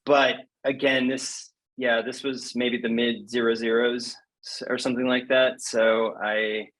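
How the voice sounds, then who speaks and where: Bright-voiced man, frank and wry. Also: mid-range voice, flat delivery, a little slow, low-quality recording. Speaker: guest poet. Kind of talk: casual conversational interview.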